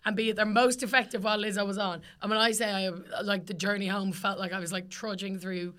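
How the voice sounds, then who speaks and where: A young woman with a bright, forward voice, co-hosting a chatty podcast. Her voice is still raspy from a recent illness. She talks freely, swears, and laughs easily. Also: medium pitch, fairly even intonation, fast. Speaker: podcast co-host. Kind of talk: casual chat.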